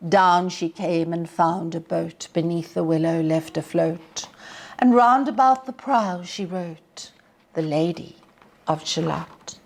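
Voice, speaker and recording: A woman teacher reading aloud as a storyteller, with a theatrical, measured delivery that can drop to hushed, breathy tones. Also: on the low side. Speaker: teacher reading poetry to pupils. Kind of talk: dramatic poetry recitation and storytelling.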